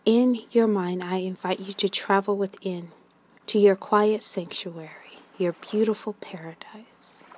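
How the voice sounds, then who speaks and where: A woman leading a guided meditation in a gentle, soothing manner, with long pauses and breathy phrase endings that trail away. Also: medium pitch.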